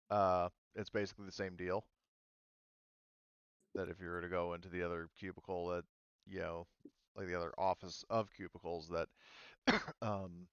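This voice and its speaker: Low, smooth-voiced man as game master. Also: dry recording, lo-fi sound. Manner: informal, halting explanations. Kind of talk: running a tabletop roleplaying game.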